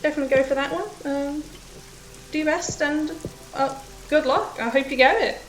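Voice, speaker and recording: A woman streamer from the UK, raised partly in Australia, chats with viewers in a nervous, rambling way. Her voice sits a little high. The room is roomy, and a faint noise runs underneath. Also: moderate pace. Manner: nervous, rambling, self-conscious. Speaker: game streamer. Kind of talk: casual chat with viewers while playing.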